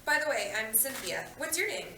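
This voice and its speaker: Woman acting awkward goth young woman, high bright voice, exasperated, echoey room. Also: big pitch swings, brisk, heavily acted. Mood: tentative. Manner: exasperated, self-conscious. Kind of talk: table read of a comedy screenplay. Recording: echoey meeting room, faint noise.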